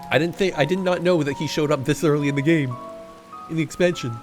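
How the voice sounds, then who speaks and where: A man's voice: fairly high pitch, fast talker, music in the background. A man streaming a game, chatting casually and reading the dialogue aloud with joking asides. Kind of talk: casual let's-play commentary.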